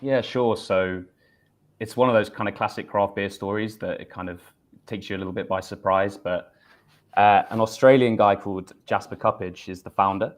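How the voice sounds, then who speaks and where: A man's voice: lowish voice, fairly even intonation, moderate pace. A man joining a live stream over a video call as a guest, friendly and explanatory as he tells a story. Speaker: brewery marketing head, guest.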